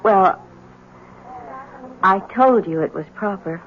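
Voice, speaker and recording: An actress playing a demure, guarded young woman with a dark secret, in a 1970s radio drama. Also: mid-range voice, takes it slowly, a little hiss or hum, close to an everyday voice. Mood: coy, guarded. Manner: soft, coy, guarded. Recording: dull 1970s radio broadcast.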